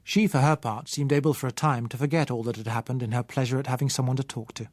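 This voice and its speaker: A man with a British accent reading a novel in the first person as an audiobook narrator, in a measured, literary way. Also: medium pitch, fairly even intonation, no echo.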